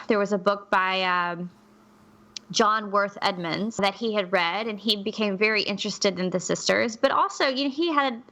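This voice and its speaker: A woman with a clearly bright voice at an average pitch. She tells stories conversationally, like a podcast co-host sharing notes. Her manner is candid and chatty, often stepping aside to qualify what she says.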